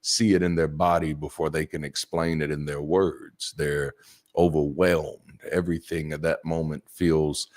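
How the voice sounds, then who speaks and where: A middle-aged man with a naturally deep voice, preaching a sermon in a lively, joking way and repeating words for emphasis. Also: a little slow. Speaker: pastor.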